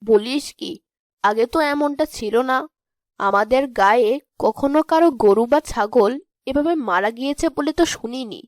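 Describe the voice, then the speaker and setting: A kindly but shrewd village mother in a cartoon fairy tale , acted by a woman. Her voice is high, and it is recorded dry, without room sound. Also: unhurried, darkish tone, no room sound. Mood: surprised.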